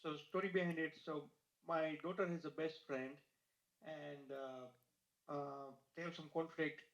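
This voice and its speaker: A man asking a question on a video call, speaking in halting, uneven phrasing. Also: on the high side, steady tone. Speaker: audience member asking a question. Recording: echoey dull video call audio.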